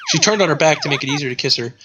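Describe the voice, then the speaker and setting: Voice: medium pitch, animated, very fast, noisy background. A streamer reading fan fiction aloud as a comic dramatic reading, amused and breaking off to react with casual asides.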